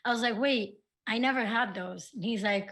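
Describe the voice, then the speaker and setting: Woman guest, Algerian accent, fast chatter, slightly high voice. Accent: Algerian, Oran. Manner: rapid, animated storyteller. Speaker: guest, YouTuber. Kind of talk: casual conversation.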